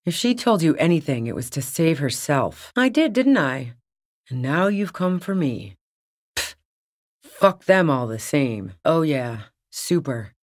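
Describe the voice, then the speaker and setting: A woman's voice: deep voice, sing-song, measured pace, no room sound, studio quality, heavily acted. A woman voicing a tough, cynical, streetwise smuggler on the run in a video game, with a blunt, sardonic manner. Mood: bitter, defiant. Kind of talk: video game character dialogue.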